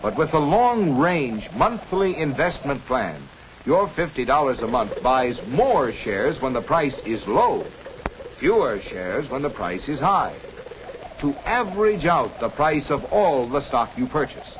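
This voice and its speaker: An actor playing an earnest, reassuring American stockbroker who explains things in an instructive, salesmanlike way in a 1950s educational cartoon. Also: male voice, mid-range voice, sing-song, measured pace, background noise. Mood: reassuring, explaining. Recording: 1950s film soundtrack with music. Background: music.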